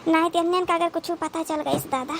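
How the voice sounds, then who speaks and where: A woman's voice: extremely high pitch, fairly even intonation, brisk, noisy background. A woman voicing a scolding, dramatic village sister-in-law in a cartoon comedy, lamenting and exclaiming in an Awadhi accent. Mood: alarmed scolding.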